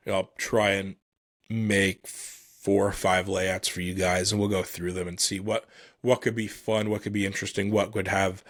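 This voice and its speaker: Low-pitched man, halting casual podcast host. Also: fairly bright tone, no room sound, clean studio sound. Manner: halting, thinking aloud, self-deprecating. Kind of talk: casual solo motorsport commentary.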